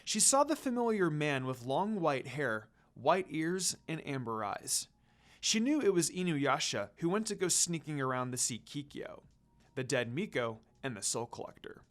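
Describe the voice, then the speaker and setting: Woman narrator with very lively pitch. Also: normal speed. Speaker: fan fiction reader. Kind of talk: expressive storytelling narration.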